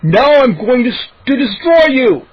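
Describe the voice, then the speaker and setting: Big loud boisterous kid for a storybook , played by a man, high, husky, bellowing and cocky. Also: very animated, normal speed, no room sound, dull audio, exaggerated voice. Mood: bossy, menacing. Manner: bellowing, boastful. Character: huge, loud, boisterous sixth-grade boy, rowdy bully-pal. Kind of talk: children's audiobook character voice.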